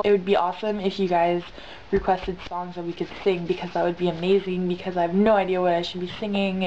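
A woman's voice: mid-range voice, darkish tone. A young woman chats casually to camera in a rambling, slangy way, her nose congested from being sick. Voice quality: stuffed-up, congested. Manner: rambling, emphatic repetition, slangy. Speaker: vlogger. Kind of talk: casual, chatty vlog to camera. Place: small bedroom, indoors on a bed. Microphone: built-in camera mic at arm's length. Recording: dull lo-fi camera sound, light noise.

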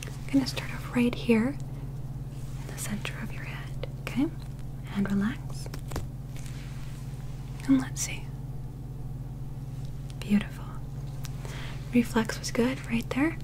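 A young woman whispers softly, close to the mic, as a reassuring examiner in an ASMR roleplay. Her voice sits fairly high and breathy, and she takes her time, soothing the listener as she goes. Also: poor audio. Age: young adult. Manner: gentle, soothing, unhurried, reassuring.

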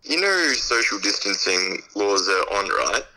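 A man's voice: fairly low pitch, sing-song, a little slow, bright, forceful tone. An Australian man chatting casually over a phone call. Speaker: prank call recipient. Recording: phone call, faint noise.

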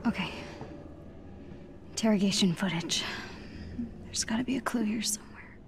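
An actress with an American accent playing an earnest, plucky teenage superhero girl in a game, with heartfelt, halting delivery. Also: mid-range voice, whispered, lightly acted. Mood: frustrated. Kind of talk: dramatic game cutscene acting.

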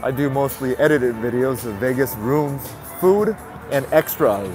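Stuffy-nosed male vlogger, chatty and relaxed, speaking into a handheld phone on a busy night street with faint music. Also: mid-range voice, a little slow, rough recording. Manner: chatty, repeats greetings, talks to viewers. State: stuffy nose. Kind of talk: casual live-stream walking commentary. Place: city sidewalk outdoors at night. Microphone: handheld phone camera.

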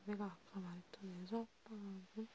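A woman speaking in a hushed, rambling ASMR style, half whispering, as if chatting one-to-one with a listener. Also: medium pitch, steady tone, brisk, background noise. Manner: hushed, rambling, intimate one-sided conversation. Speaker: ASMR creator. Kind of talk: soft ASMR roleplay chat, partly whispered.

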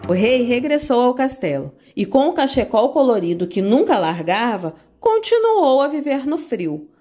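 A woman narrating a children's fairy tale in an expressive, animated way. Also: fairly high pitch, normal speed, faint music behind. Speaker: storyteller.

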